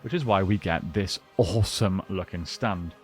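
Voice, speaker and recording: A man's voice: deep voice, normal speed, soft background music. A man giving a casual unboxing and review, talking informally and thinking aloud as he goes. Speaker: toy reviewer and collector.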